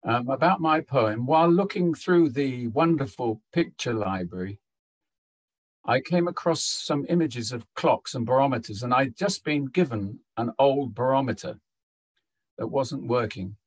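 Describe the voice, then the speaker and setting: A man introduces his poetry in a mid-range voice with a dry, echo-free sound. His delivery is measured, suited to an online reading. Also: mid-range voice, lively intonation. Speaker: poet.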